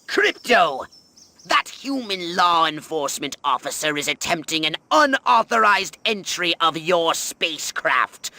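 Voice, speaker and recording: A man's voice: a little high, bright, forceful tone, full character voice. A man playing a pompous, scheming elderly alien commander in a video game, speaking in a theatrical, grandly enunciated manner. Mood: alarmed, commanding.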